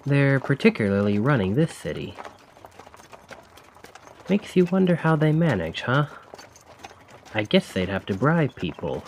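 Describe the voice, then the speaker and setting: A man's voice: mid-range voice, sing-song, unhurried, long pauses, a little hiss or hum. A man playing a chatty, cheeky stand-in carriage driver in an audio roleplay, talking casually and awkwardly to the listener with sly insinuation. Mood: slyly probing. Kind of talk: ASMR roleplay acting, addressing the listener.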